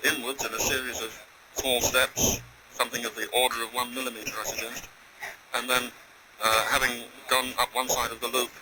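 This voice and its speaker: Precise male scientist on noisy radio link. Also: mid-range voice. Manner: precise, polite, formal phrasing. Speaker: scientist on the ground instructing crew. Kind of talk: technical instructions over radio.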